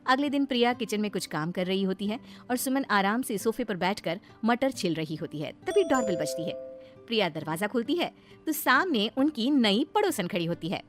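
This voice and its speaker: Lady storyteller reading a scripted fairy tale, normal mid pitch, bit of music under it. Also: lively intonation, moderate pace.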